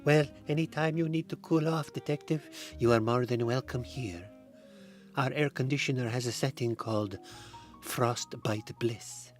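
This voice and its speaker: Man voicing a coaxing noir femme fatale, mid pitch, soft music bed. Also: a little slow, lightly acted. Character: sultry scheming woman, a femme fatale.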